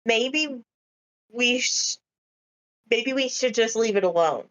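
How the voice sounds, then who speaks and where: Unhurried higher-voiced woman at play. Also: lively intonation, a bit bright. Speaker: tabletop game player. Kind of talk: casual in-game chat.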